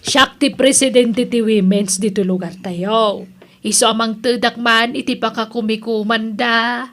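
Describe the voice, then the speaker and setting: A very high-voiced, bossy auntie acted by a woman who presides over the village women's club. She speaks with an Ilocano accent and pushes her schemes in the manner of comedy radio drama. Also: fairly even intonation, a little slow, a bit bright. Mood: scheming, persuasive.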